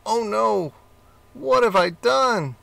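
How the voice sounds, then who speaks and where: A man doing a squeaky little girl rat. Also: slow, gravelly, distant mic, exaggerated voice. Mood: panicked. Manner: high squeaky excited voice. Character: clever greedy female rat, small and squeaky. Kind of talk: children's storybook reading.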